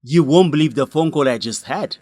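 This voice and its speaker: A man's voice: on the high side, fairly quick, a little mellow, dry recording, faint music behind, natural voice. A man acting as a determined, indignant young student reporter in a radio drama, blunt and emphatic. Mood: urgent, serious. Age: young adult. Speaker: actor in an educational radio drama.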